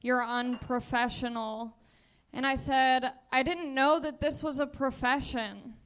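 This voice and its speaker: Young woman stand-up, monotone, slow, bright tone. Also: high voice, clear, resonant tone. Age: 20s. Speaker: stand-up comedian. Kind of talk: stand-up set.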